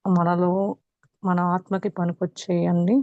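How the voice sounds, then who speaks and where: A woman with a Telugu accent leading a guided meditation as a teacher, calm and unhurried, with long pauses. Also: lowish voice. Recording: muffled, echoey room recording.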